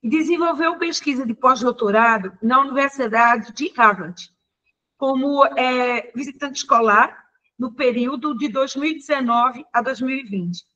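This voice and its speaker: An older woman hosts the session and introduces the guest lecturer. Her voice is somewhat high and a bit muffled over the call. She stops and restarts often, filling the gaps with small hesitation sounds. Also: pushed and bright. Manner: halting, with frequent fillers and self-corrections. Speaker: professor introducing the speaker. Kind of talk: formal introduction.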